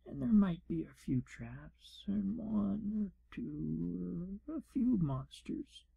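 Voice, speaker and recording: Man playing a hushed, gravelly, pompous wizard proctor. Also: high-pitched, very animated, slow, heavily acted. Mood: falsely reassuring. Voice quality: hushed, breathy, half-whispered. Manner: pompous, wry, evasive, theatrical storytelling. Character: pompous, shifty male wizard proctor giving an exam. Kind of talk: ASMR fantasy role play.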